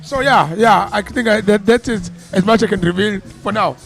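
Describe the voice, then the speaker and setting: A South African man with a gravelly voice, an actor chatting as an interview guest, laughing and repeating words as he thinks. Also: sits high, moderate pace, fairly bright tone, soft background music. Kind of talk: casual interview chat.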